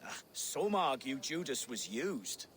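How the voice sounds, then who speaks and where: An actor playing a timid, pious monk in a video game, earnest, hesitant and defensive. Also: male voice, medium pitch, sing-song, takes it slowly, fairly bright tone.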